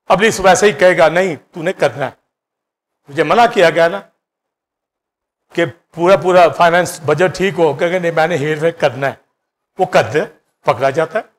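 A middle-aged man with a Pakistani Urdu accent preaching a sermon in a church hall on a handheld mic, swinging between loud bursts and hushed asides. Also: high voice. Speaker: pastor.

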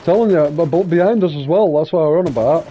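A man's voice: on the high side, quick pace, mellow, highs cut off, a little hiss or hum. A man, chatting casually and encouraging his family while they play a game together. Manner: encouraging, directing the team. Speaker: streamer leading family squad.

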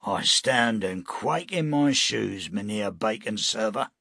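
A man voicing a loud, defiant owner of a gambling house who blusters and mocks. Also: lowish voice, lively intonation, slow, vocal fry, no room sound, studio quality, full character voice. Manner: blustering, mocking.

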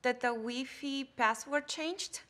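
An actress playing a struggling young news anchor, earnest and pleading. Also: female voice, a little high, a little slow, very noisy. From Colombia. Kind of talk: scripted drama acting.

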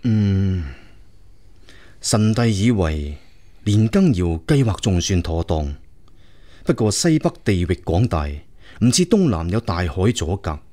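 A man's voice: low voice, sing-song, slow pace, pauses often, warm tone, exaggerated voice. A man playing a loyal prince, the emperor's younger brother, advising him earnestly and deferentially in a radio drama. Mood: earnest, reporting. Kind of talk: Cantonese radio drama acting.

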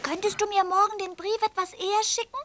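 A performer voicing an excitable little tiger cub in a children's cartoon, childlike, squeaky and eager. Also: fairly quick. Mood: urgent, pleading. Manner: eager, breathless urging, stammering when excited. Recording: clearly noisy soundtrack.

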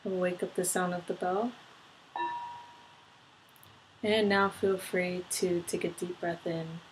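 A woman leading a guided meditation, giving gentle step-by-step instructions. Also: on the low side, steady tone, normal speed, poor audio. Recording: clear background noise, ambient sound.

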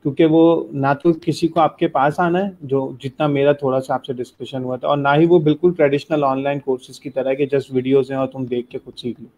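A man's voice: mid-range voice, steady tone, fairly quick, hardly any pauses, darkish tone, low-quality recording. A man hosting an interview, asking long, polite questions. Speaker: interview host.

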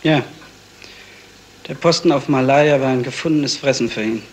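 A man's voice: mid-range voice, normal speed, lightly acted, poor audio. An actor playing a bitter, resigned male suspect in a 1970s radio crime play, speaking in a clipped manner. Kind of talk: 1970s German radio crime drama acting.

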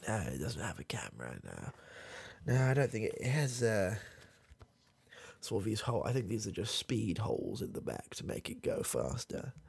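Casting: young man as a rambling old granddad, low gravelly voice with lively swings, dry close sound. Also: no room sound, full character voice. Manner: rambling, halting, trailing off, chuckling. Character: doddering old granddad, confused, creepy, rambling. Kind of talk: comedy ASMR roleplay. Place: small dark room, indoors. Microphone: close studio mic, camera near face.

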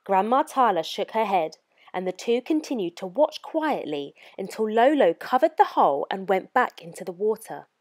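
A woman narrating a children's picture book in an expressive, clearly paced read-aloud manner for young listeners. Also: mid-range voice, moderate pace. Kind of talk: expressive scripted storybook narration.

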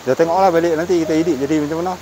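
High-voiced northern Malay man vlogging outdoors. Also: steady tone, rapid-fire, noisy background. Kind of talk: casual walking vlog chat. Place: outdoors on forest park trails by a cave. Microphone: camera at arm's length.

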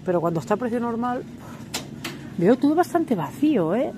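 A woman's voice: a little high, big pitch swings, moderate pace. A woman chatting casually while filming her supermarket shopping on a handheld camera. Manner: chatty, exclaims over prices and products. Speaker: vlogger. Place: supermarket indoors. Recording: noisy supermarket ambience.

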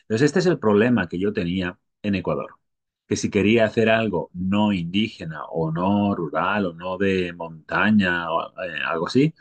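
A man's voice: low-pitched, normal speed. A middle-aged man from Spain with a Spanish accent, talking casually to his webcam and thinking aloud as he goes. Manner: rambling, thinking aloud, tag questions. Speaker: stock photographer vlogger. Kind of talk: casual talk to camera.

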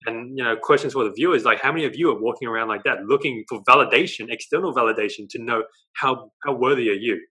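A man's voice: mid-range voice, fast talker, rough recording. A young man with an Australian accent, a guest on a video call interview, telling his story with lots of gestures and a habit of adding "right?". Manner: animated storytelling, gestures a lot, tags lines with "right?". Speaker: guest, dating coach. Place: living room at home.

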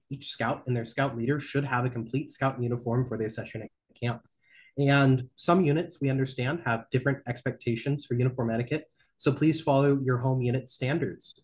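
A man's voice: medium pitch, a little mellow, rough recording. A young man presenting camp rules from slides on a video call, reading points out with some fillers and restarts. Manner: reads slide points, spells out links slowly, some fillers. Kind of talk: slideshow briefing. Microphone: webcam on video call.

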